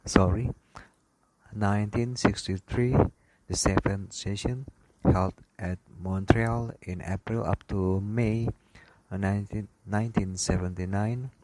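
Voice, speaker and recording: A man reading a slide lecture aloud as an instructor, in a halting, word-by-word manner with stumbles. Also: fairly low pitch, steady tone, a little mellow. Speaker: aviation lecturer. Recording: clearly noisy background, clicks.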